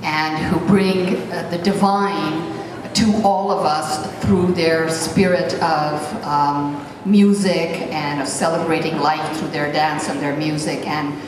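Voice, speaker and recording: A middle-aged woman from Pakistan introducing her poem on stage, speaking slowly with many pauses and fillers. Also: low-pitched, steady tone, background noise. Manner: slow, hesitant, many fillers. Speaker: poet and performer. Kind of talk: spoken introduction at a concert. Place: large hall on a stage. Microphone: stand mic, recorded from far back in the audience. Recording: echoey hall from far off. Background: live band and audience.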